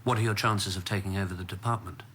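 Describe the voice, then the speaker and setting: An actor playing a calm British confidant of a politician in a naturalistic radio drama, brief and probing. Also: male voice, deep voice, quick pace, slight background noise.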